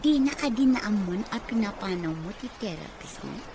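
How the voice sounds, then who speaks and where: A woman playing a conniving, mocking sweetheart villainess in a radio drama, drawing out her words slowly. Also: mid-range voice, expressive, noisy background, natural voice. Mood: conniving, amused.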